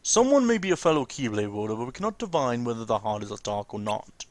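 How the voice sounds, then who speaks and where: A man's voice: mid-range voice, sing-song, quick pace, no echo, natural voice. A man voicing a hostile, suspicious young warrior who speaks sternly in a video game story.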